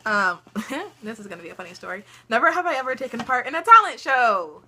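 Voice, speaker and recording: A woman's voice: fairly high pitch, very animated, fast talker, pushed and bright, a little hiss or hum. A teenage girl vlogger telling funny stories in casual chat, laughing often and dropping lots of ums.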